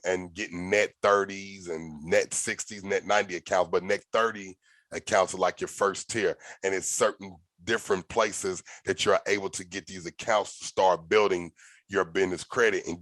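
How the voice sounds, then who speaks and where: A man hosting a podcast, telling stories in a loose, animated way, with slang and swearing. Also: low voice, dry recording. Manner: animated, profane storytelling with slang. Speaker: podcast host. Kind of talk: casual podcast conversation.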